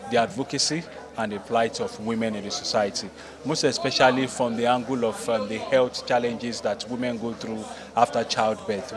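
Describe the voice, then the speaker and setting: A man explaining a production in a measured, hesitant interview manner. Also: medium pitch. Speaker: stage play creator interviewee. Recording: busy crowd behind voice.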